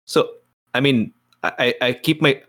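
A man's voice: mid-range voice, a little slow, a bit bright. A man chatting casually as a player in a tabletop roleplaying game, hesitant and often correcting himself.

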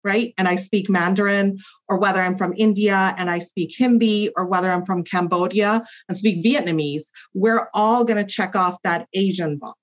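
A woman's voice: medium pitch, fairly even intonation, normal speed, hardly any pauses. A woman clinical psychologist explaining as a podcast guest, with many fillers and tag questions. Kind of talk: podcast interview. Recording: muffled lo-fi audio.

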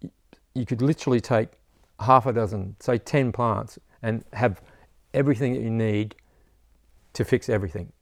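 A man's voice: medium pitch, moderate pace, no echo, studio quality. A man, an herbalist teacher chatting casually in an interview, rambling and saying "you know" often.

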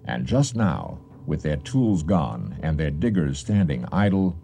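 A man's voice: a bass voice, big pitch swings, a little slow, vocal fry, warm tone. A man hosting and narrating a radio drama in a scripted, dramatic storytelling style. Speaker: host and narrator. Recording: faint music behind the voice.

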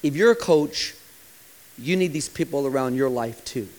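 A man in his forties, a pastor preaching a sermon with impassioned delivery that sometimes drops to a hushed, quiet tone. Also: medium pitch, normal speed. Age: 40s.

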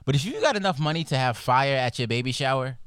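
Animated young man, loud joking banter. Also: fast, clear, resonant tone, dry recording, clean studio sound. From Puerto Rican and Dominican heritage. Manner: loud, animated joking with sudden jumps in pitch. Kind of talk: casual podcast banter.

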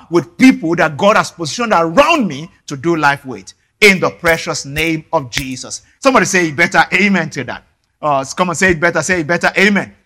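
A man from Nigeria, a native Yoruba speaker, preaching a sermon earnestly, often repeating words and filling pauses. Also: fairly high pitch, pushed and bright. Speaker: pastor.

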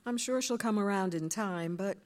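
A woman playing a wry, gently exasperated mother of teenagers in a live audio drama, teasing and expressive. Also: medium pitch, moderate pace, close to an everyday voice. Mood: thoughtful.

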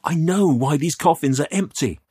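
A man voicing a frightened, desperate youth who speaks in a halting stammer, in an audiobook story. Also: a little high, expressive, dark tone, slightly rough, voice pushed up. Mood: shaky accusation.

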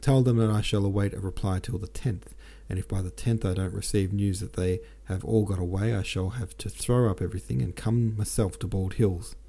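A man's voice: on the low side, fairly even intonation, a little fast, few pauses, warm tone, slightly rough. A man voicing a young prince and army colonel who gives short, commanding orders. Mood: curt.

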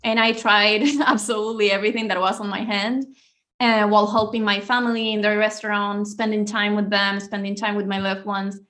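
A woman's voice: mid-range voice, level tone, brisk, pushed and bright. A woman from Venezuela, answering interview questions as a guest author in an earnest, upbeat way, often with a short laugh.

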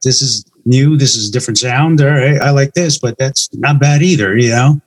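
A man's voice: medium pitch, normal speed. A man speaking as a band member in an interview, chatting casually and informally with some swearing. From United States. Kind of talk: casual chat.

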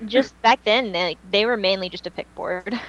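A woman's voice: medium pitch, normal speed, fairly bright tone, muffled recording. A woman chatting casually as co-host of a fan podcast, talking in a reminiscing way.

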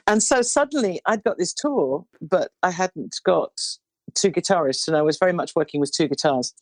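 A woman in her sixties, a singer with a low baritone voice, telling stories in a rambling interview chat with many restarts. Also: no echo. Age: 60s. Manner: rambling, warm storytelling with restarts.

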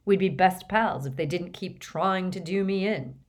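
A woman's voice: on the low side, a little slow. A woman voicing a teenage boy who speaks heatedly and sarcastically, close to her own voice. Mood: sarcastic. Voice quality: sarcastic, dry.

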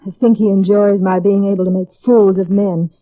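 A young femme fatale acted by a woman speaks in a medium-pitched voice, teasing yet fragile. It is a vintage radio play with a thin, tinny sound. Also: little pitch movement, a little fast, close to an everyday voice. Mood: vulnerable. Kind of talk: 1950s radio drama acting. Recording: tinny band-limited vintage radio recording.

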